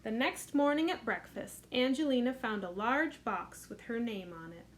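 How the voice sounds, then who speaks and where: A middle-aged woman, a children's librarian, reading a picture book aloud at storytime in a gentle, expressive voice with sing-song lifts. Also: a little high, moderate pace.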